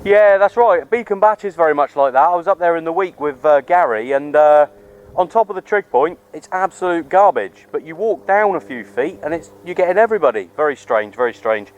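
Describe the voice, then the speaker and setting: Matey man, higher-pitched, outdoors. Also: lively intonation, fairly quick, muffled recording. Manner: matey, informal British slang. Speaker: amateur radio operator and vlogger. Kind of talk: casual radio chat and outdoor vlog. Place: outdoors on a hill. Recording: clear outdoor background noise.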